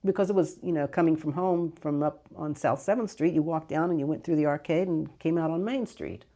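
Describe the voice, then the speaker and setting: An older woman, Greek her first language, looks back on the old days in a relaxed interview. Her voice sits low and a little gravelly, mellow in tone. She wanders from memory to memory, correcting herself as she goes. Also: a little fast. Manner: rambling, fond recollection with soft laughs and self-corrections. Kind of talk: casual reminiscing interview.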